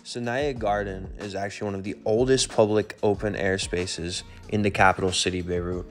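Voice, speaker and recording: Deep-voiced man narrating a travel vlog. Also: fairly even intonation, moderate pace, over music. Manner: informative, easygoing, with casual fillers.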